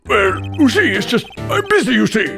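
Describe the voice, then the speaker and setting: Pompous, high-pitched blustering older lawyer. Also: male voice, big pitch swings, moderate pace, creaky, music in the background, full character voice. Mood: flustered, evasive. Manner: pompous, theatrical, old-fashioned phrasing. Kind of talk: fan-dub video game voice acting.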